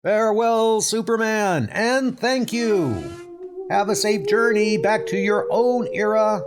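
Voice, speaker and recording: Man doing a high, loud grateful future citizen. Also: takes it slowly. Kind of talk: comic book dub acting.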